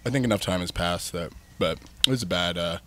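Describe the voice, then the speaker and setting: A man's voice: low voice, fairly quick, fairly bright tone. A man in his thirties telling his band's story in a candid, rambling way, filled with "like" and "um". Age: 30s. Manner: rambling, heavy use of "like" and "um", candid. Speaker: band frontman, guest. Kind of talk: casual chat.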